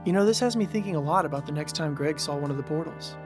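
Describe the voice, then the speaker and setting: A man playing a calm young man who shares secrets in an audio drama, in a casual conversational voice. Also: on the high side, fast talker, music in the background, close to an everyday voice. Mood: candid.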